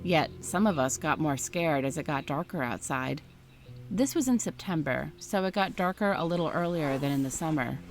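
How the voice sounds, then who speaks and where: A woman reading a first-person story aloud in a steady, scripted narration style. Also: deep voice, normal speed, music in the background.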